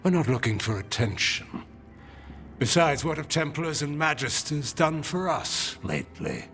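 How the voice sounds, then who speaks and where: A hard underworld man guarding his turf in a game. He talks slowly and bluntly with quiet menace, his pitch moving widely over faint music. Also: very animated, exaggerated voice. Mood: hard resolve. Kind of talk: video game voice acting.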